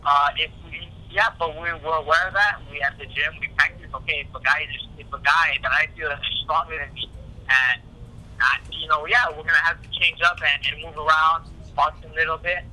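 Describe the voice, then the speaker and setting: Bright, high-voiced man on a phone line. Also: fairly even intonation. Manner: halting, repetitive, frequent "you know". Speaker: professional boxer being interviewed. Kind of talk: casual phone interview. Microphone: telephone call.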